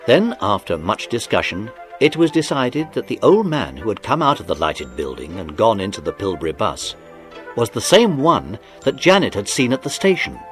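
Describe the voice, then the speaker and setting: A man narrates a children's story in a fairly low voice. His scripted delivery is lively and expressive, with faint music behind it. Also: moderate pace.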